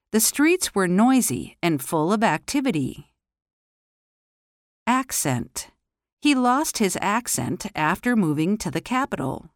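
Woman slowly drilling English vowel sounds. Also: mid-range voice, no echo, studio quality. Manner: carefully enunciated, reading example sentences. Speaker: pronunciation teacher. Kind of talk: slow, deliberate word-and-sentence drill.

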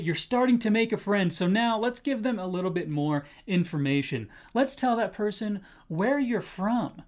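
An American man in his twenties giving an upbeat, informal English lesson, enthusiastic and encouraging, slowing down to enunciate example phrases carefully. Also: high voice. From United States. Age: 20s. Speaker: English teacher presenting a lesson. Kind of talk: upbeat scripted language lesson to camera.

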